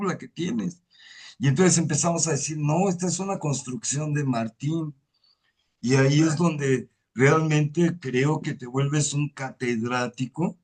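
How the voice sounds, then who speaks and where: A man's voice: medium pitch, a little mellow. A man hosting a conversational interview, talking in long, hesitant sentences full of fillers. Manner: hesitant and wordy, many drawn-out fillers. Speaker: host. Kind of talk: conversational interview on a video call.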